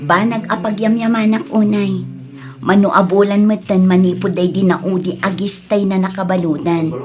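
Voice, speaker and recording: Radio drama casting: actress as long-suffering young mother, average pitch, earnest and emotive delivery. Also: lightly acted. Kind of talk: Ilocano radio drama acting.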